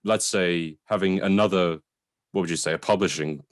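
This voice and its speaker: A man with a deep, low voice speaks in a dry, echo-free recording. He chats casually as a review co-host, taking a wordy route to his point. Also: normal speed. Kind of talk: casual discussion.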